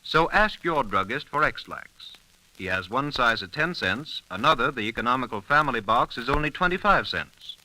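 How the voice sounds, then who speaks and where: Forceful, lively 1930s radio announcer man. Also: mid-range voice, normal speed, low-quality recording. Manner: emphatic, polished announcer delivery. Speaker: announcer and narrator. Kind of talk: 1930s radio scripted narration and advertising. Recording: vintage 1930s radio broadcast.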